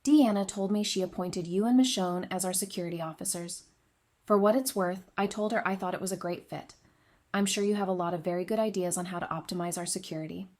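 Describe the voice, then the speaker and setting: A woman's voice: on the low side, fairly quick, darkish tone, close to an everyday voice. A woman playing a frank, loyal and caring friend in an audio drama. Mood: friendly, assured.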